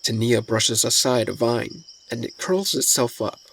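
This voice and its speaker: A man's voice: medium pitch, a little slow, vocal fry, a bit bright, slight background noise, low-quality recording. A man narrating a scripted fantasy story in the present tense as its narrator. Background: faint music.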